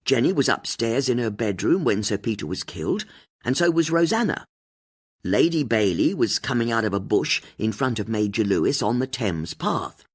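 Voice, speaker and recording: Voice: mid-range voice, expressive, normal speed, breathy edge. An audiobook narrator voicing a blunt, indignant woman amateur detective, forthright and a little boastful. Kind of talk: audiobook character dialogue.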